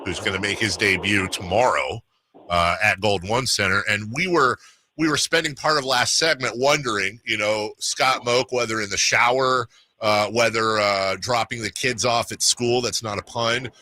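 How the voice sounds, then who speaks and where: A man with a bright, forward voice hosts casual sports talk. He rambles and jokes, and the sound is dry and close. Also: lowish voice, steady tone, moderate pace, dry recording. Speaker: radio talk show host.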